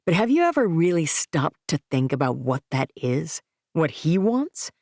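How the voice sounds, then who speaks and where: A man's voice: on the high side, sing-song, moderate pace, darkish tone, no room sound, studio quality. A woman voicing a smug, wealthy man talking down to his ex-wife in a condescending, pushy way. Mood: smug, contemptuous. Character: smug wealthy adult man, scornful ex-husband.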